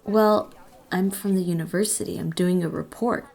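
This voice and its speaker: A woman's voice: on the low side, steady tone, normal speed, creaky, darkish tone. A woman playing an earnest, uneasy young college student drawn to the occult, acting and narrating in an audio drama. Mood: hesitant.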